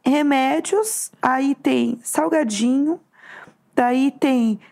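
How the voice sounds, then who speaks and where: A Brazilian woman co-hosting a casual podcast, hoarse from losing her voice, chatty and telling stories with acted-out quotes. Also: high-pitched, extremely slow, darkish tone. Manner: chatty, storytelling, acts out quotes. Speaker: podcast co-host. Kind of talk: casual chat.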